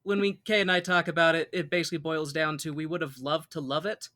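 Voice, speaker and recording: A man's voice: on the high side, steady tone, fast talker, pushed and bright. An American man co-hosting a casual podcast, often breaking off and rewording as he goes. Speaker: podcast co-host.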